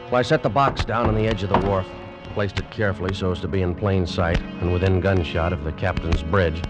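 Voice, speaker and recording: A man's voice: sits low, fairly even intonation, lightly acted. An actor playing a determined young male traveler in a dramatic 1940s radio style, on an old recording. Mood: tense dread. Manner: intense, deliberate, dramatic. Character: determined, uneasy young male traveler telling his grim tale. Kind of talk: 1940s radio acting, dramatic first-person narration. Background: music.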